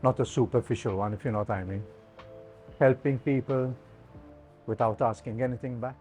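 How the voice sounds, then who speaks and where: A man in his fifties from Malta speaks non-native English in a medium-pitched voice. He chats casually and haltingly as an interviewee, with soft music underneath. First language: not English. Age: 50s. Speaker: cafe owner, interviewee.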